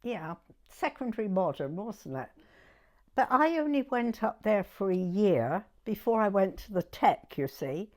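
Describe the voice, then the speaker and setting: A woman in her nineties with a southern English accent, reminiscing informally in an interview in a chatty, halting way with plenty of "you know". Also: medium pitch, big pitch swings, darkish tone. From Dorset, England. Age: 90s. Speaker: oral history interviewee.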